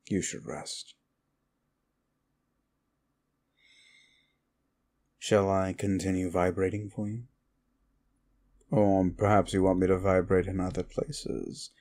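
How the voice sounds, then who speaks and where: A man's voice: deep voice, darkish tone. A man voicing a sardonic, seductive demon of desire in a comfort ASMR roleplay, teasing and musing without hurry.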